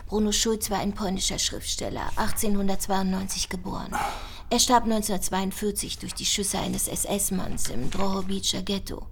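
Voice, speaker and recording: An actress playing a gifted, coolly factual cold case analyst in a radio drama, speaking precisely like a researcher. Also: female voice, mid-range voice, fairly even intonation, moderate pace, creaky, slight background noise, natural voice.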